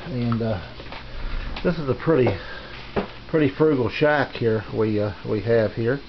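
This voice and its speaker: A middle-aged or older man giving a casual, unscripted tour as a hobbyist, speaking in a halting, unhurried, plain way. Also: mid-range voice. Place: small home radio shack, indoors. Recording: dull lo-fi camera audio, faint noise.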